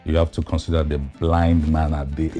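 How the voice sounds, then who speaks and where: A man with an extremely low, mellow voice talks as an interview guest. He explains in a halting, deliberate way. Faint music plays behind him. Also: little pitch movement. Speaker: guest actor.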